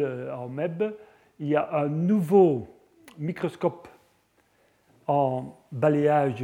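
A man's voice: a little high, mellow. A middle-aged man with a Flemish accent, a native Dutch speaker giving a science lecture in French, hesitant, with frequent fillers and restarts. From Belgium (Flanders).

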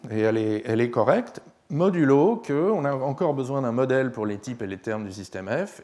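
A man teaching a university class, his voice slightly hoarse. He talks hesitantly, filling gaps and restarting phrases, and keeps checking that the room follows him. His pitch sits in an ordinary middle range. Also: darkish tone. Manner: hesitant, many fillers and restarts, checks understanding. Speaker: lecturer. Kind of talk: university lecture.

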